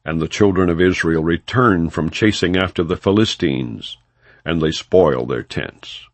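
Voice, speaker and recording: A man narrating scripture as an audiobook, reading in a solemn, measured and emphatic way. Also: deep voice, no echo.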